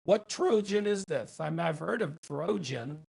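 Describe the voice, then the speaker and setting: A man voicing a befuddled, indignant phone caller through a voice changer, halting, repetitive and argumentative on an improvised prank call. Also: fairly high pitch, lively intonation, creaky, a little mellow, slightly rough, heavily acted. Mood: confused, doubtful. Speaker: scambaiter.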